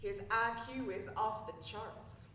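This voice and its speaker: Casting: rapt, incantatory female stage chorus, higher register, distant mic. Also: steady tone, slow pace, fairly bright tone. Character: entranced female chorus member of devout commune. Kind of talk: live stage play chorus. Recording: reverberant hall, distant, faint noise.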